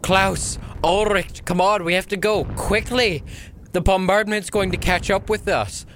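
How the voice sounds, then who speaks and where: A man's voice: medium pitch, big pitch swings, normal speed, noisy background, voice pushed up, lightly acted. A man voicing a hard-pressed, pragmatic soldier in a war story, urgent and insistent. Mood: rushed, alarmed. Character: hard-pressed, pragmatic male soldier rushing comrades along.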